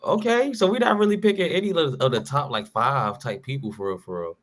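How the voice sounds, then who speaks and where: A man's voice: medium pitch, fairly quick, fairly bright tone. A man co-hosting a casual sports talk show, talking loosely in slang with lots of fillers and restarts. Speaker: podcast co-host.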